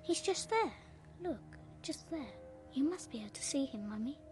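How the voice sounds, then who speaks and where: A woman's voice: high-pitched, animated, normal speed, loud music behind the voice. A woman playing a bossy, eerie little boy with a British accent in a radio horror drama, insistent, repetitive and taunting.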